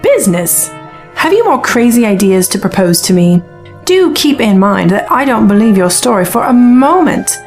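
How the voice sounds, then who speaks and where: An actress playing a wary young news reporter in an audio drama, brisk and incredulous with formal phrasing. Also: female voice, fairly high pitch, big pitch swings, darkish tone, soft background music. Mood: sceptical.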